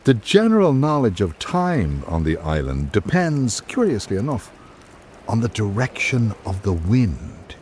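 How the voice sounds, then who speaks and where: A man reading literary scripted narration in a measured, formal, reflective manner, as for a radio drama. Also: fairly low pitch, very animated, a little mellow, slight background noise.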